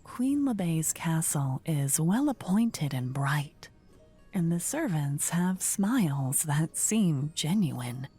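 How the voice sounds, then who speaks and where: A woman narrating a scripted fantasy ASMR story in a soft, whispery voice. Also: low-pitched, sing-song, slow, faint music behind.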